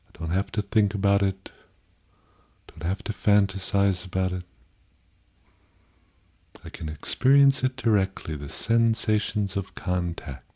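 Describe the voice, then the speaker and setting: A man leading a guided meditation in a gentle, contemplative way, with pauses and softly posed questions. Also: low voice.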